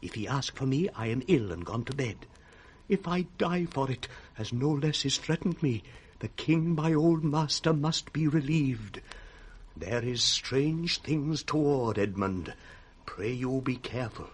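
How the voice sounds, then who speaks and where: A man's voice: medium pitch, expressive, unhurried, husky. An actor playing an anxious, loyal old nobleman with an earnest, conspiratorial British delivery in a radio play. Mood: secretive, resolute. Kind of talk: 1960s British radio Shakespeare acting. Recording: faintly noisy 1960s radio recording.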